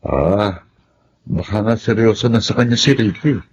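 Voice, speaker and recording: A man's voice: on the low side, normal speed, a little hiss or hum, close to an everyday voice. A man playing a curious, musing friend trading gossip, with a Filipino accent, in a radio drama. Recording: dull sound, loud music behind.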